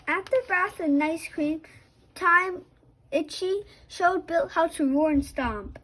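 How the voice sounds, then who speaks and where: Female storybook narrator for children: very high pitch, unhurried, a little halting. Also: fairly bright tone.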